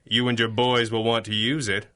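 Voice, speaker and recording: Guy doing an old-time radio masked hero, sharp clear-cut delivery, stern and drily mocking. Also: medium pitch, flat delivery, moderate pace, lightly acted. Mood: wry, menacing. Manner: articulate, mocking, righteous. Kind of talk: 1930s pulp radio drama acting.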